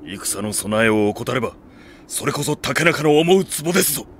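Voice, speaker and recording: A man's voice: mid-range voice, lively intonation, moderate pace, background noise, lightly acted. An actor playing a stern, protective samurai retainer in an anime audio drama, speaking firmly and formally to his lord. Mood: firm, dutiful. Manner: firm, formal, gruffly deferential. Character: stern, loyal samurai retainer, protective right-hand man.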